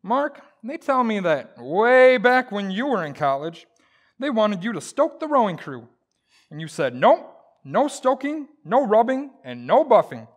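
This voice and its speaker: A man's voice: high-pitched, sing-song, a little slow, full character voice. A man playing a blustering, wisecracking, stubborn husband in old-time radio comedy, with a folksy, exaggerated, jokey delivery. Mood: teasing, sardonic.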